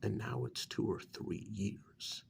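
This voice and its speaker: A man playing a patient but exasperated vampire official in a soft-spoken ASMR role-play, halting and coaxing, with a breathy voice that often nears a whisper. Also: low-pitched, big pitch swings, slight background noise, exaggerated voice. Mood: exasperated, reproachful. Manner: halting, coaxing, many pauses.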